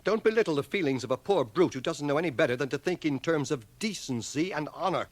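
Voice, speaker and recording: A man's voice: fairly high pitch, lively intonation, quick pace, dry recording. A man playing a proud, indignant fifty-year-old bachelor in a radio drama, with a stiff, wounded manner. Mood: bitter, indignant. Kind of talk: 1980 radio drama acting. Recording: noisy home cassette of 1980 radio drama.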